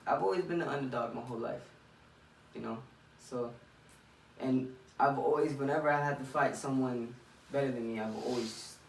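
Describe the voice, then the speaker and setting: A young man from Miami talks casually as a boxer being interviewed, feeling his way through answers and circling back on phrases. His mid-pitched voice sits away from the mic in a very echoey room with faint noise. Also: recorded from a distance. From Miami, United States.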